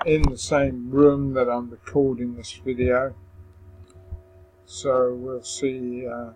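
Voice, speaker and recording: Slow-paced man giving a software walkthrough. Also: medium pitch, a little hiss or hum, poor audio. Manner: hesitant, explaining step by step. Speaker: tutorial presenter. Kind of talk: software screen-recording walkthrough.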